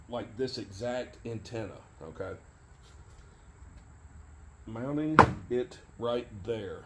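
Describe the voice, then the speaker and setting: Middle-aged male how-to presenter, plain-spoken and halting, medium pitch, casual delivery, some ambient noise from a truck-side workshop setting. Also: mellow. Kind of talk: casual hands-on tutorial. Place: truck bed by a cluttered garage or workshop.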